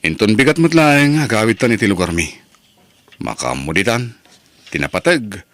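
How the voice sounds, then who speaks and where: A man's voice: on the low side, lively intonation, moderate pace, a bit bright, dry recording. A man playing an earnest, struggling working husband in an Ilocano radio drama. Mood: earnest, worried. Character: struggling working husband resolved to move home.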